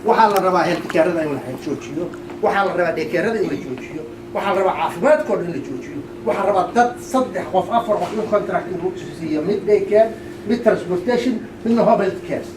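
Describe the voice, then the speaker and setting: Guy doing a fired-up political speech, talks quick, echoey and far from the mic. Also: high voice. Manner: emphatic, repetitive, rhetorical questioning. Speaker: community elder speaking at a political meet-up. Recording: distant mic in noisy echoey room.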